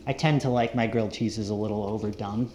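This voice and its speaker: A man's voice: lowish voice, steady tone, a little fast, a little mellow. A man talking casually and unhurriedly, as if narrating his own camping and cooking vlog. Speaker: found-footage vlogger, narrator. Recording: roomy echo, faint background noise.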